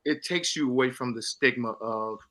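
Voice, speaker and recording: A man's voice: medium pitch, moderate pace, a bit bright. A middle-aged man speaking earnestly and emphatically in a promotional interview, with a devout manner and repeated phrases. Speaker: stage play actor.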